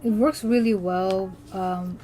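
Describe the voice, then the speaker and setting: A woman's voice: mid-range voice, steady tone, slow pace, mellow, soft background music, rough recording. A young woman from the Philippines chatting casually as she draws on a livestream, rambling with lots of fillers and restarts. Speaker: artist streaming while drawing.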